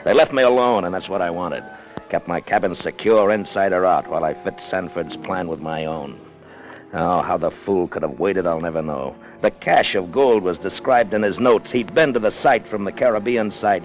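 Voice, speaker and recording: An actor playing a hard, cunning first officer on a whaling ship in clipped, tough 1950s radio drama style. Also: male voice, sits low, natural voice. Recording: muffled old radio recording with music.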